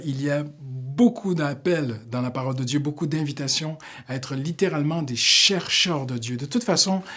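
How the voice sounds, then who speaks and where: A Canadian man giving a short devotional sermon in an earnest way, with many fillers, slowing down to stress his points. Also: on the high side, fairly bright tone. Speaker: pastor.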